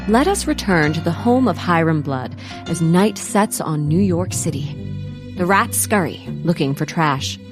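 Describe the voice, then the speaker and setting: A woman playing an arch, theatrical narrator of a scripted comic story. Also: lowish voice. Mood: dramatic.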